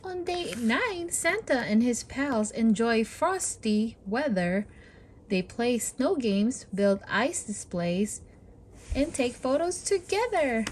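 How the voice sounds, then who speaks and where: Female storybook reader, slightly high voice, unhurried pace, expressive and encouraging delivery aimed at small children. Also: background noise. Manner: slow, deliberate, encouraging. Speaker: children's storybook read-aloud host. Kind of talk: expressive read-aloud narration for young children.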